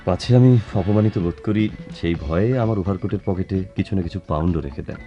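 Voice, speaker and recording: An actor playing a young student suitor in a Bengali radio drama, dryly ironic and brooding yet deferential. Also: male voice, deep voice, fast talker, dark tone, highs cut off, faint music behind, lightly acted. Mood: bitter irony. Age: young adult.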